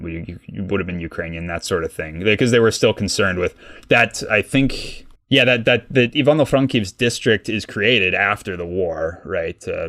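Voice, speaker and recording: A man's voice: sits low, a bit bright, no room sound, studio quality. A man co-hosting a podcast, explaining history casually with many fillers and restarts. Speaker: podcast co-host, guest expert.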